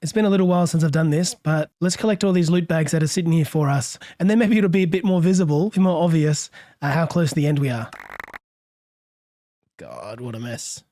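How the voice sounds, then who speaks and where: A hoarse man, a little under the weather, casually musing aloud as he narrates his game session and chuckling often. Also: a little high, fairly quick, dark tone, dry recording, clean studio sound. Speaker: let's play host. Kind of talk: gameplay commentary.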